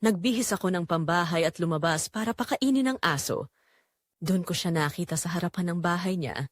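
A woman's voice: lowish voice, fairly quick, no echo, studio quality. A woman narrating a scripted first-person audio drama in short, dramatic, suggestive phrases.

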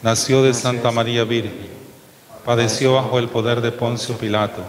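A middle-aged man, a bishop presiding at Mass, preaching and leading prayers in a measured, solemn way. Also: mid-range voice, level tone, a crowd in the background. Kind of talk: homily and liturgical prayers. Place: chapel with altar, indoors. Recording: long chapel reverb, faint noise.

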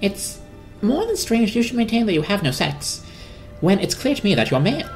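A woman's voice: mid-range voice, very animated, fairly quick, darkish tone, soft background music, voice pushed up. A woman voicing a suspicious, pompous male squirrel in a storybook, with a stuffy, complacent manner. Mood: complacent, insistent. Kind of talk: dramatic children's storybook reading.

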